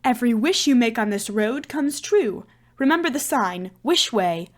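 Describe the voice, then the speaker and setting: A woman's voice: a little high, lively intonation, moderate pace, lightly acted. A woman voicing a timid, cautious, earnest talking lion in a storybook, advising in an urgent, fretful way. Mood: excited.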